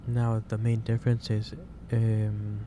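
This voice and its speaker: A man playing a gentle, caring office boss in an ASMR roleplay, speaking haltingly with repeated phrases over a noisy background. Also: on the low side, monotone. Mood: gently concerned. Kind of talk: soft-paced ASMR roleplay monologue. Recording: clearly noisy background hiss or ambience.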